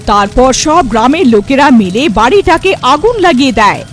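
A woman's voice: high voice, lively intonation, moderate pace, slight background noise. A woman narrator delivering scripted storytelling for a horror cartoon.

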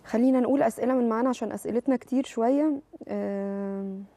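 A woman in her thirties with an Egyptian accent hosting a TV talk show, reading viewer messages and taking calls briskly. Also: fairly high pitch, warm tone. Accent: Egyptian Arabic. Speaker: TV host. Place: TV studio.

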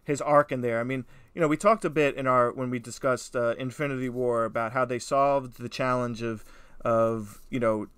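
A man's voice: mid-range voice, fairly even intonation, normal speed, fairly bright tone, smooth, dry recording. A man co-hosting a casual film review podcast, talking emphatically with swearing and frequent checks for agreement. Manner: emphatic, profane, peppered with "you know" and "right?".

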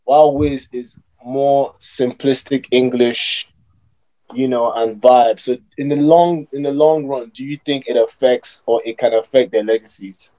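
Young man, mellow voice, probing questions, restarts often, dull lo-fi video call audio. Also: medium pitch, fairly even intonation, unhurried. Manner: probing, asks long leading questions, restarts mid-thought. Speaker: podcast host. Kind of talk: casual debate among friends.

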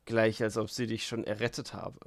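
A man voicing a mocking, spiteful, boastful young man in an audiobook, loud and needling. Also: mid-range voice, normal speed, dry recording, lightly acted. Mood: sneering.

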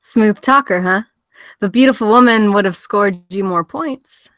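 Confident high school girl, played by a woman, close and dry. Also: measured pace, a bit bright, no echo, natural voice. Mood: teasing. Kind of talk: screenplay table read.

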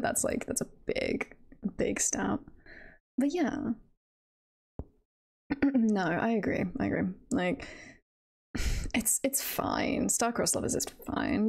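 A woman's voice: medium pitch, gravelly, a little mellow. A woman chatting casually on a live stream, playful and giggly, rambling and trailing off between thoughts. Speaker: streamer and voice roleplayer chatting with viewers.

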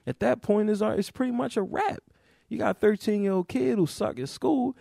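Man, gravelly low voice, casual podcast monologue, dry close sound. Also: brisk, mellow, no room sound. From Baltimore, USA. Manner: conversational, repeats and restarts, rises when making a point. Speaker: podcast host, teacher.

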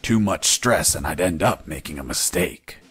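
An actor playing a gruff, wisecracking soldier in a video game, with a husky voice and deadpan delivery. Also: male voice, very deep voice, moderate pace, faint music behind. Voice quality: husky, gravelly. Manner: deadpan, dry. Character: gruff male soldier recounting his prison escape, deadpan wisecracker. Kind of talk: video game voice acting, narration.

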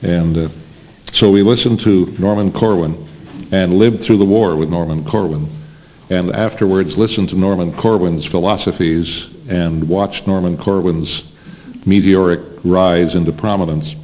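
An older American man hosting an informal live panel interview, respectful and somewhat halting. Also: low-pitched, steady tone, a little mellow. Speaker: interviewer, host. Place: seated at a panel table in a plain meeting room.

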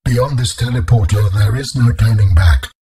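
An ancient alien of great calm, in a game. The voice is low and mellow, with a very husky grain. Every phrase is formal, grave and measured. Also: male voice. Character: ancient alien architect being, male, solemn and calm. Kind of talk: video game voice acting.

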